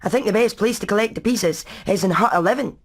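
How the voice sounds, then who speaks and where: Canny female hen inventor, Scottish accent, brainy, technical, practical, animated game character. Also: mid-range voice, fast talker, husky. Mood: thoughtful. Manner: technical, matter-of-fact. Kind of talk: animated video game acting.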